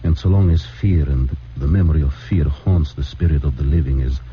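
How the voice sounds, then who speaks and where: An actor playing an anxious, devout immigrant father who speaks in halting, formal, stammering phrases. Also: rumbling low, slight background noise. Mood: solemn. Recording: muffled old radio broadcast.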